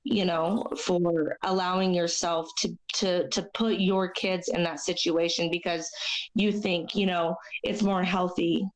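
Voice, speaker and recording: A young woman with a raspy, hoarse voice from a chest cold, sharing her story as an interview guest in a halting, earnest way with many fillers. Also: on the low side, steady tone, low-quality recording. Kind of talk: personal testimony in a podcast interview.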